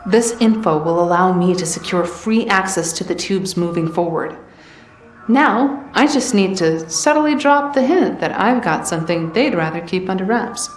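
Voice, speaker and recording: Woman acting scheming female quest giver in a game, lowish voice, businesslike n confiding, bit echoey. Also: expressive, normal speed, slight background noise, lightly acted. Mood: grateful, sly. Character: resourceful scheming female faction leader giving missions. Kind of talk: scripted video game dialogue.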